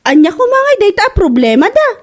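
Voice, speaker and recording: A woman's voice: very high voice, big pitch swings, brisk. A woman playing a concerned, assertive daughter in a family comedy drama, questioning and pleading in an Ilocano accent. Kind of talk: radio-style comedy drama acting.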